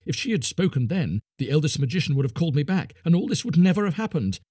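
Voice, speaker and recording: A man's voice: a little high, sing-song, fast talker, dark tone, slightly rough, dry recording, clean studio sound, pitched above the performer's own voice. A man voicing a small, proud male crab creature in a storybook reading, insistent and boastful.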